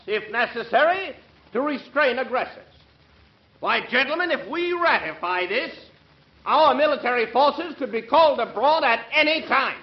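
An actor playing a pompous, alarmist senator, orating with heavy emphasis in an old radio drama. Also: male voice, extremely high pitch. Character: pompous, alarmist senator making an oratorical speech. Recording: echoey, dull old radio recording.

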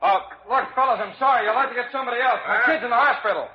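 A man's voice: extremely high pitch, very fast. An actor playing an easygoing, sporty husband and father in classic radio drama, casual and colloquial. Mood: worried, hurried. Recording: very noisy, dull vintage radio recording.

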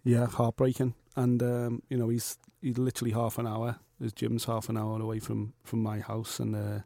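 Man, podcast co-host, casual banter, warm low tone. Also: monotone, moderate pace, gravelly, no echo. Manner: blunt, jokey, uses slang like mate and man. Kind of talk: casual chat.

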